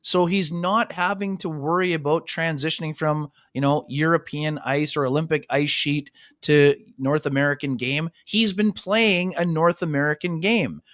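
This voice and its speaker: Male hockey radio co-host, casual chatty delivery, fillers and restarts, fairly high voice, dry studio sound. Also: normal speed, few pauses, fairly bright tone, dry recording. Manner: chatty, many "you know" fillers and restarts; addresses co-hosts as "fellas". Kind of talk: casual sports talk radio chat.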